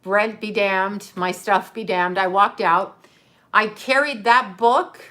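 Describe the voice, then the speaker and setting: A woman's voice: medium pitch, takes it slowly, pushed and bright. A middle-aged woman hosting a live stream, telling a rambling personal story in a casual way and answering viewer comments along the way. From Canada. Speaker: livestream host.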